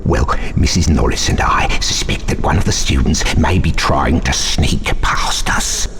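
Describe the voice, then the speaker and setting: A man's voice: very deep voice, a little slow, fairly bright tone, slight background noise. An actor playing a sneering, menacing old school caretaker in a video game, gloating and drawing out his taunts. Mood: servile, conspiratorial. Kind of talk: video game character acting.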